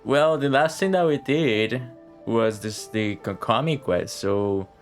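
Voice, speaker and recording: A man's voice: medium pitch, slow pace. A man giving casual live game commentary, reacting in an informal, slangy, sweary way. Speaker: game streamer. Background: game music.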